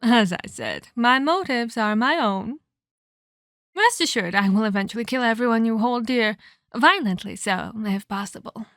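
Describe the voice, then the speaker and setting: A woman's voice: on the high side, expressive, normal speed, a bit bright, no echo, studio quality. Woman acting out a haughty, sadistic ancient vampire villainess. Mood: cold menace. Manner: haughty, taunting, scolding, formal diction. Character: sadistic, scheming twelve-hundred-year-old female vampire villain. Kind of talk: ASMR roleplay monologue.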